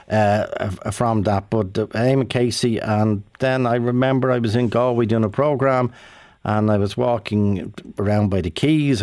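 A Dublin Irish radio host in his sixties, chatting with callers. His voice sits on the low side and sounds close and dry. He talks in a loose, rambling way with plenty of ums and restarts. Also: a little mellow, dry recording. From Dublin, Ireland. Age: 60s. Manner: chatty, many fillers and restarts. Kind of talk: live call-in radio chat. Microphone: studio mic.

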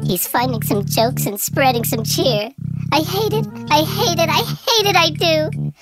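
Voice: high voice, unhurried. A performer voicing a jealous male jester villain who taunts in sing-song rhymes and cackles, over children's music. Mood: spiteful. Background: bouncy children's music.

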